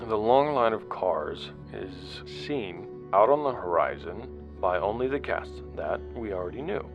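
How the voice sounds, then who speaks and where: A man playing an earnest, weary investigator in a sci-fi audio drama, talking in a halting, conversational way and repeating his words. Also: fairly low pitch, gravelly, dull audio. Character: earnest, weary male investigator chronicling outcasts' histories. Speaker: narrator and interviewer. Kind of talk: audio drama narration and dialogue.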